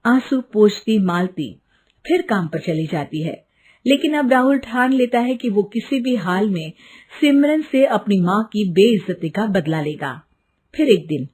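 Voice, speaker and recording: A woman's voice: medium pitch, lively intonation, measured pace, a little mellow, slightly rough. A woman narrating a scripted story as its storyteller.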